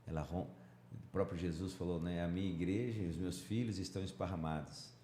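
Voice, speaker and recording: Male Brazilian Bible study host, middle-aged, low mellow voice, engaging conversational teacher, strong room echo. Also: steady tone, fairly quick. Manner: engaging, seeks agreement with tag questions. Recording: roomy, echoing, faint background noise.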